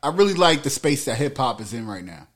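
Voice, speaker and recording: A man's voice: medium pitch, very animated, quick pace. A young man co-hosting a podcast in a home studio, talking in a laid-back way with lots of slang and frequent laughs. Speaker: podcast co-host. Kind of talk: casual chat.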